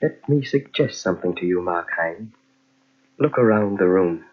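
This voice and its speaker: An actor playing a sly inner voice of evil that tempts and commands in old-time radio mystery style. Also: male voice, low-pitched, lively intonation. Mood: coaxing. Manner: insinuating, slow commanding repetitions. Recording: dull lo-fi old radio cassette recording.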